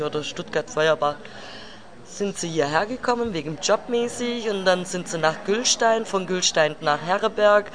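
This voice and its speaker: A woman chatting casually, thinking aloud and restarting her sentences as she explains. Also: fairly low pitch. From Germany. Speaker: interview guest. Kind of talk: casual chat. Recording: voice among a crowd.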